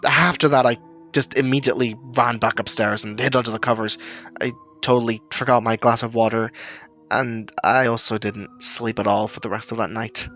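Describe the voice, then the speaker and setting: A man's voice: mid-range voice, steady tone, normal speed, a bit bright, soft background music, lightly acted. A man playing an earnest, uneasy storyteller who recalls an eerie childhood memory, stammering now and then. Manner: earnest, hesitant, stammering in places. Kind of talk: first-person spooky story narration.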